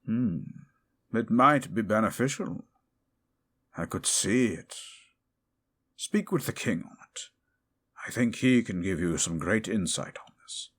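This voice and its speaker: A man's voice: lowish voice, expressive, fairly bright tone. A man playing a learned, cautious royal chancellor, speaking formally and precisely in a fantasy audio drama. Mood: advising. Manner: formal, deliberate, precise wording.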